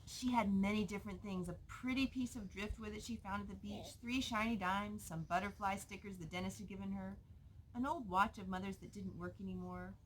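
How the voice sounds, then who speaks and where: A woman's voice: medium pitch, a little fast. A woman reading a picture book aloud expressively to a young child in a noisy recording with clicks.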